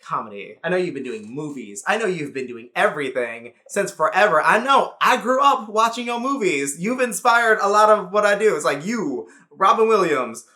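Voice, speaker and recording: A man's voice: sits high, expressive, moderate pace, hardly any pauses, bright, forceful tone. A man around thirty giving a casual, effusive, sassy and comedic review full of slang. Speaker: YouTube movie reviewer.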